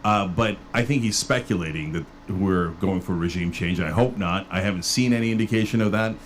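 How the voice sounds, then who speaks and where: A man's voice: sits low, brisk, slight background noise. Emphatic man from Turkey, podcast guest. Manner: emphatic, animated storytelling with mock dialogue. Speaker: political commentator guest. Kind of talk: casual podcast conversation.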